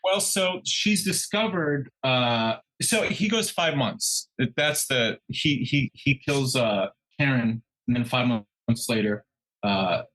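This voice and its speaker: A man with a medium-pitched voice comments on a true crime case as a podcast co-host. He talks conversationally and haltingly, laying out details piece by piece. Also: expressive, fairly bright tone, rough recording.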